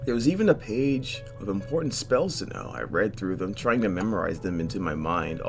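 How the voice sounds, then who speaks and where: A man hosting a game playthrough, reading visual novel narration aloud in an expressive way, stumbling now and then and dropping in joking asides. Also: on the low side, moderate pace, soft background music. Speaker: host of a video game playthrough.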